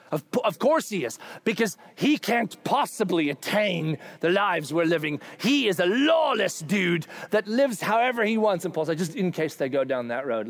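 A man preaching a sermon, animated and humorous, acting out quoted figures. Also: fairly high pitch. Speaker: pastor.

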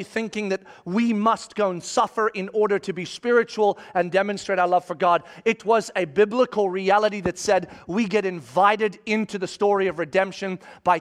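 A man's voice: high voice, a bit bright. A middle-aged man, a pastor, giving an emphatic sermon and telling stories to his congregation. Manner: emphatic, storytelling preaching.

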